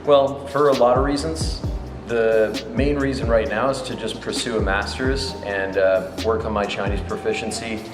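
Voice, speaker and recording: A man's voice: lowish voice, steady tone, normal speed, hardly any pauses. A young man, chatting casually in an interview, loose and joking with a sarcastic streak. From United States. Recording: roomy sound over background music.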